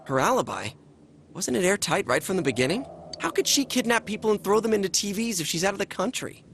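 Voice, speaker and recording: A man's voice: sits high, lively intonation, quick pace, gravelly, background noise. A man voicing an earnest, restless teenage boy in a video game dub, casual and questioning. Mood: insistent.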